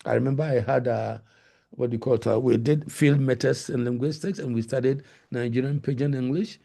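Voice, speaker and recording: A man's voice: medium pitch, steady tone, a little fast, creaky, mellow. A middle-aged man with a Ghanaian accent, answering webinar questions over a video call, hesitant and full of fillers. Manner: hesitant, many fillers and restarts. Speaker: guest lecturer.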